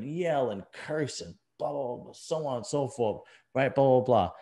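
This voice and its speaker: A man's voice: lowish voice, a little mellow. A middle-aged man hosts a casual podcast. He talks bluntly and emphatically, hammering his points by saying them again. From US East Coast. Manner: blunt, emphatic, repeats himself. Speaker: podcast host. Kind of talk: casual conversational podcast talk.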